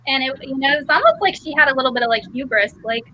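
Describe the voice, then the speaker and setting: A woman hosting a live online book discussion, chatty and quick to laugh, full of fillers. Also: sits high, animated, lo-fi sound, a little hiss or hum. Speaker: live show host.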